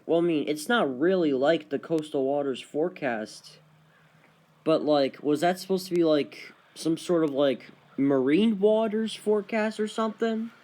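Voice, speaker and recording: A man's voice: a little high, darkish tone. A man chatting casually as a video creator, with informal, hesitant and repetitive phrasing. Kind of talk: casual chat, reacting to radio channels.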